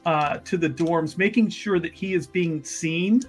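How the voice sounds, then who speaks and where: Rambling male tabletop player, slightly high voice. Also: a little slow, faint music behind. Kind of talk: improvised casual narration of his character's actions.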